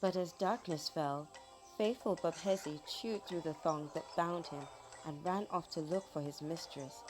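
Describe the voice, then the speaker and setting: A woman narrating a children's folk tale from a script in a dramatic, expressive storytelling manner. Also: sits low, measured pace, over music.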